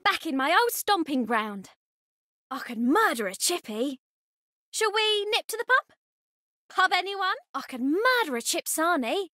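A woman's voice: very high voice, big pitch swings, unhurried, sharp tone, no echo, studio quality, exaggerated voice. A woman playing a cheeky, irrepressible young hero pilot in a video game, chirpy and bantering in a Cockney accent.

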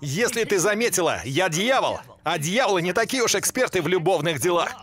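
A man's voice: sits high, sing-song, a little fast, a bit bright, slightly rough, faint music behind, exaggerated voice. An actor dubbing a proud, brooding young male devil in a cartoon, speaking earnestly. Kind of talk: Russian cartoon dub.

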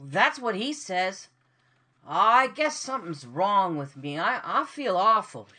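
A man's voice: sits high, big pitch swings, takes it slowly, bright, forceful tone, heavily acted. A man playing a stubborn, insecure, whiny teenage boy in old radio comedy style. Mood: dejected. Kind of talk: old-time radio sitcom acting.

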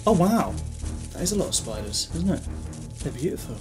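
A man's voice: on the high side, sing-song, fairly quick, music in the background. A man playing a cheerful, eccentric amnesiac time traveller in a fan-made audio drama. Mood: breezy. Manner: breezy, whimsical.

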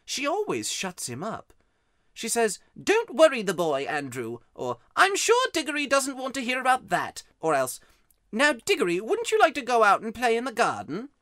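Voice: mid-range voice, huge pitch swings, moderate pace, fairly bright tone, dry recording, clean studio sound, pitched above the performer's own voice. A narrator voicing an aggrieved, wound-up young boy with emphatic schoolboy talk, in a children's audiobook. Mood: secretive, uneasy. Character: miserable, wound-up, grubby young boy from the country.

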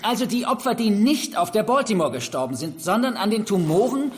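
Indignant, high-pitched conspiracy blogger. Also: male voice, animated, brisk. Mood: insistent. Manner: pedantic, indignant, stumbling over his points. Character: insistent, self-important male conspiracy video blogger as talk-show guest. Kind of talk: audio drama talk-show interview.

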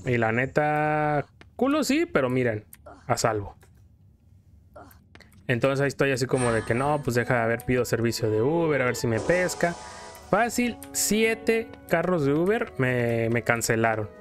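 A man's voice: mid-range voice, big pitch swings, a little slow, soft background music. A man with a Mexican accent telling a story in casual, colloquial style while commenting as a gameplay host. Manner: colloquial, acts out quotes in passing.

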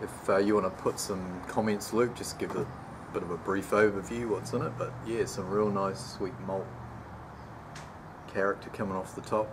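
Laid-back man with a lowish voice. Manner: relaxed, chatty, frequent "um" pauses. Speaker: homebrew channel host. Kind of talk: casual beer review vlog. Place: sunny backyard patio, outdoors. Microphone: camera mic at close range, later handheld while walking. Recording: outdoor background noise.

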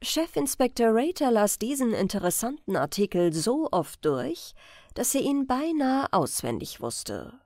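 A woman's voice: a little high, sing-song, a little slow, a little mellow, no echo, clean studio sound. A woman narrating a scripted crime story as an audiobook reader.

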